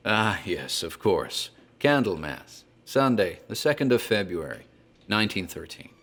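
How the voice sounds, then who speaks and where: An actor playing a talkative tavern landlord who tells a grim tale in a deliberate, theatrical horror drama style. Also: male voice, deep voice, very animated, fairly bright tone, heavily acted. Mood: ominous storytelling. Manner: deliberate, theatrical storyteller, literary wording. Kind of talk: dramatized horror storytelling, scripted narration.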